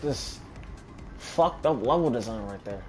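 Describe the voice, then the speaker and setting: A man's voice: medium pitch, lively intonation, a little slow, darkish tone, faint music behind. A man casually commentating on a video game as he plays, grumbling and swearing. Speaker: gamer commentating on own play.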